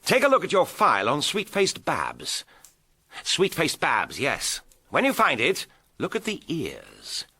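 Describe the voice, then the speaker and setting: A man's voice: fairly high pitch, wildly swooping pitch, a little slow, sharp tone, husky, full character voice. A man playing a breezy, cheerful, wry adventurer detective in a tongue-in-cheek period radio drama. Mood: confiding, urgent. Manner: jaunty, wry.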